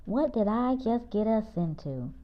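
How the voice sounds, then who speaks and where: A woman's voice: expressive, a little slow. Woman playing a know-it-all schoolboy, muffled recording. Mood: boastful, keen. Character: keen schoolboy, know-it-all older brother. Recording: muffled, low-fidelity audio.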